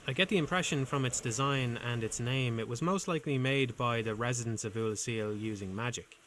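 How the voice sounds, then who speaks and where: Male voice-over commentator: soothing, calm, measured, dry wit, mid pitch, faint background hiss. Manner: calm, measured, dryly wry. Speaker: game commentator.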